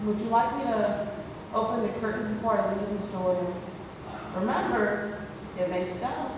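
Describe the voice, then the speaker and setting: A woman's voice: medium pitch, normal speed, natural voice. A woman playing a caring, teasing care-home nurse in a play read-through. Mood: reassuring. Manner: teasing, reassuring. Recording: echoey hall, distant mic, heavy noise.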